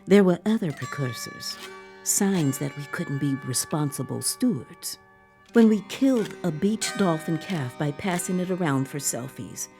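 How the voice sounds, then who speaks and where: An uneasy, eerily giddy narrator of a dystopian audio story. Her voice is low and dark, measured and dramatic, dipping toward breathiness, over faint music. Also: lively intonation. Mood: uneasy, solemn.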